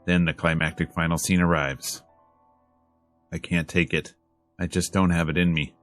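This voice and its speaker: A man reading a visual novel aloud as a let's player, in measured, dramatic storytelling narration over game music. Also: low voice, little pitch movement.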